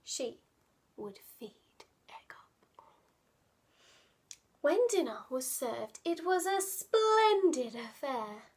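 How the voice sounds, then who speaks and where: A woman reading a children's picture book aloud, dramatic and playful, often dropping into hushed asides. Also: sits high, sing-song, very slow, long pauses. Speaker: children's storyteller.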